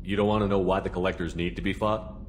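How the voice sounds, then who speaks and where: An actor playing a direct, businesslike military commander in a video game, speaking plainly and asking pointed questions. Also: male voice, deep voice, flat delivery, fast talker, slight background noise, lightly acted. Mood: businesslike, probing. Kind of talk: video game dialogue acting.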